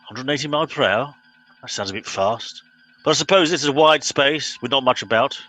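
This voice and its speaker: Guy doing pompous english captain, forceful voice, bossy and impatient, space radio comedy. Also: medium pitch, brisk, faint music behind, low-quality recording. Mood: officious. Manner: pompous, officious, exasperated. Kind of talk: comic radio play acting.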